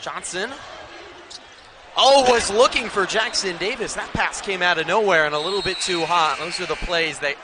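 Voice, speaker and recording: A man's voice: high voice, normal speed. An American man calling live basketball play-by-play for television with emphatic game calls. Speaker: play-by-play announcer. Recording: noisy arena with loud crowd. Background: crowd noise.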